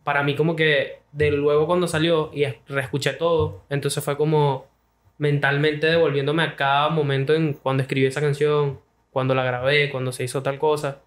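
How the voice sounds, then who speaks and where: A man's voice: on the high side, level tone, normal speed. A young Venezuelan man, a guest musician, talking loosely and casually about his work into a studio mic. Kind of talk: casual interview chat.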